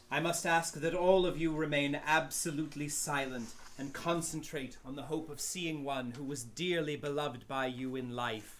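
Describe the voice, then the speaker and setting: A man's voice: medium pitch, a bit bright, lightly acted. A man playing a solemn, theatrical fake medium in a radio play, with a grave, portentous manner. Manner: slow, grave, portentous incantation.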